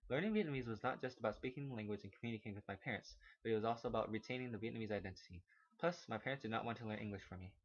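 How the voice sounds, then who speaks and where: A man's voice: mid-range voice, a bit bright, slightly rough, dull audio. A teenage male student reading scripted narration for a home-made personal video. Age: teens. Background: background music.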